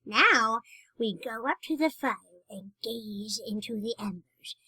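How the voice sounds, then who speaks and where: Ancient gleeful witch storyteller, high and sharp, theatrical radio horror, very dry close sound. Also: breathy edge, no echo, full character voice, poor audio. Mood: eerie, beckoning. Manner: slow, theatrical, cackling. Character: cackling 111-year-old witch, gleeful storyteller of spooky tales. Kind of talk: old-time radio horror acting.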